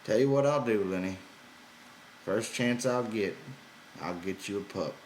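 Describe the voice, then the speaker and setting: A man voicing a gruff, weary, irritable ranch hand with folksy rough grammar in an audiobook. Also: on the low side, unhurried, echoey room, slight background noise, close to an everyday voice, low-quality recording. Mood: conciliatory. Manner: gruff, exasperated, folksy ungrammatical speech.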